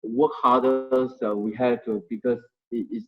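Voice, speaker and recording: Male presenter, halting and hesitant delivery, middle-pitched, muffled lo-fi audio. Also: fairly even intonation, music in the background. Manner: halting, searching for words, frequent restarts. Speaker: Dharma sharing volunteer presenter. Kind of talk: informal Buddhist study talk.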